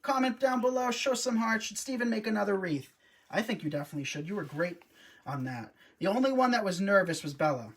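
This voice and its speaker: A man's voice: high voice, big pitch swings, brisk, fairly bright tone, slightly rough. A man hosting a live wreath-making stream, chatty and upbeat, often talking to his viewers as he works. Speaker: host, wreath designer. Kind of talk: live craft demonstration.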